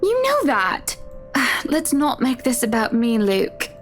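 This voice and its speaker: Exasperated, high-voiced scolding wife. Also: female voice, huge pitch swings, takes it slowly, full character voice. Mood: defensive. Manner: scolding, pointed. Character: exasperated, indignant wife standing up to her husband. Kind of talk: visual novel game voice acting.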